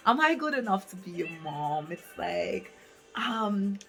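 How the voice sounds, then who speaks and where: A woman's voice: medium pitch, sing-song, measured pace, vocal fry, fairly bright tone, faint music behind. A woman in her late twenties from Africa, talking candidly to camera in a casual vlog, thinking aloud with lots of fillers and restarts. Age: 20s. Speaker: vlogger. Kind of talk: casual reflective talk to camera. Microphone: camera mic, reading from notes.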